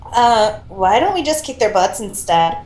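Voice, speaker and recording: A woman's voice: medium pitch, sing-song, a little slow, darkish tone. A woman reading a story aloud in an amateur style, with casual asides. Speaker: co-reader of fan fiction. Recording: echoey room with faint noise.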